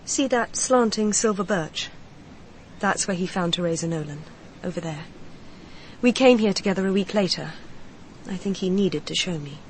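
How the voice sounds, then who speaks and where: An actress playing a grieving mistress confiding in a detective in a radio crime drama, speaking in a measured, confessional way. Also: female voice, fairly low pitch, expressive, slight background noise. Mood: wistful, confiding.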